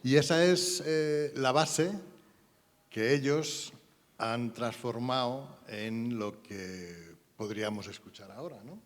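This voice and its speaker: A man presenting live and unscripted, with halting, informal phrasing. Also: medium pitch. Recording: reverberant hall, faint noise.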